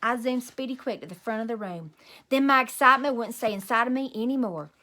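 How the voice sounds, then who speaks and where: A woman reading a children's story aloud in an expressive, emphatic way, like a teacher. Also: a little high, quick pace. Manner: animated, emphatic.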